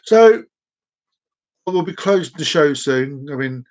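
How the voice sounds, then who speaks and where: A man's voice: a little high, animated, slow pace, a little mellow. A man hosting a casual solo live stream, rambling and self-mocking, breaking into off-key singing now and then. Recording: dull lo-fi sound.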